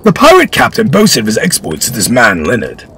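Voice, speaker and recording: A man reading aloud, voicing a scheming older English lord who speaks slowly and coldly. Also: huge pitch swings, fairly bright tone, a little hiss or hum. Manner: slow, low and cold.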